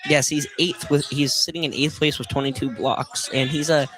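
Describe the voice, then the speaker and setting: Halting male color commentator over crowd. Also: on the high side, fairly even intonation, low-quality recording. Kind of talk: conversational live basketball analysis. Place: indoor college field house, courtside. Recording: live gym broadcast with crowd. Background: game crowd.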